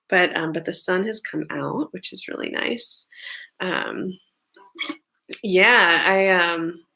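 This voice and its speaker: Slow, rambling woman chatting between songs. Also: medium pitch, gravelly, bright, forceful tone. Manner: rambling and chatty, many fillers and side remarks. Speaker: singer-songwriter. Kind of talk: casual chat between songs at a home livestream concert. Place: her home.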